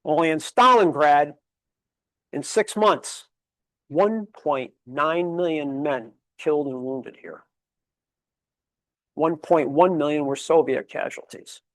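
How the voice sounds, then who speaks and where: Emphatic high-voiced male history lecturer. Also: slow, long pauses, no echo, rough recording. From Connecticut, USA. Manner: emphatic, rhetorical questions, lists dates and figures. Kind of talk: public library history lecture. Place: library meeting room.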